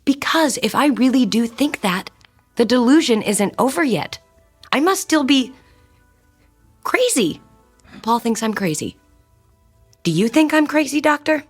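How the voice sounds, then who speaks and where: An actress playing a desperate wife who doubts her sanity, speaking with halting, emotional intensity in an audio drama. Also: sits high, very animated, slightly rough, exaggerated voice. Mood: confessional, anxious. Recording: music under the voice.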